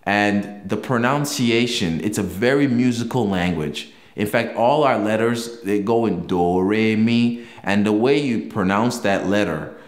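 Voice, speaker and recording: A man's voice: fairly low pitch, measured pace, few pauses, roomy sound. Conversational man, a native Yoruba speaker. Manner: conversational, explains with examples, frequent restarts. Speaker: language learning video host. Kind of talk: casual talk to camera.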